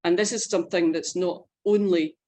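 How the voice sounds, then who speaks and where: A woman leading an informational webinar, explaining facts and figures in an earnest, measured way. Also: lowish voice, fairly even intonation, darkish tone. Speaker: presenter leading an online info session.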